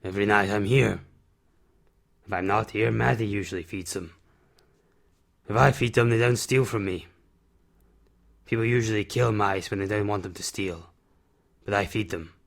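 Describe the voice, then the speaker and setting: A man acts out a gruff, fatherly recluse in an audio drama. His voice is lowish and full of gravelly creak. He speaks in short, measured, plain sentences. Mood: matter-of-fact. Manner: terse, measured, plain-spoken.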